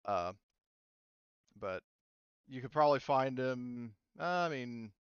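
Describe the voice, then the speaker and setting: A man's voice: mid-range voice, lively intonation, slow pace, clean tone, dry recording, lo-fi sound. A young man acting as game master, checking rules casually with many fillers. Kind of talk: running a tabletop game with players.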